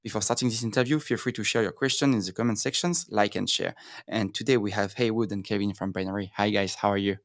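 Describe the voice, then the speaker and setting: Fast-talking man with a French accent, gravelly. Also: fairly low pitch, steady tone, dry recording, studio quality. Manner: fast, hesitant, many fillers and restarts. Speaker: podcast host. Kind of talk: interview podcast.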